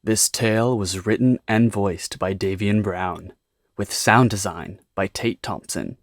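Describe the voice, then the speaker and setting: A man's voice: fairly low pitch, unhurried, no room sound, clean studio sound. A man reading a scripted credit announcement between audio stories.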